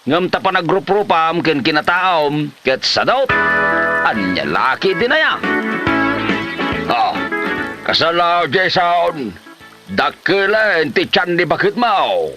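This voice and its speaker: A man's voice: on the high side, very animated, slow, pauses often, over loud music, heavily acted. A man playing an exasperated older village father figure who scolds and exclaims in a comedy drama. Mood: scolding.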